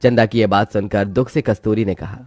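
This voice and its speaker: A man's voice: on the low side, fairly even intonation, fairly quick, darkish tone, dry recording, studio quality. A man reading a scripted moral story as its narrator, with music behind him.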